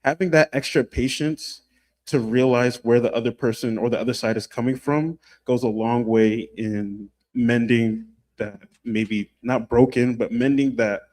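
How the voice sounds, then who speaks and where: A young man who teaches youth, speaking in a measured and reflective way on a panel. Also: medium pitch, fairly even intonation, darkish tone. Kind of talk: panel discussion.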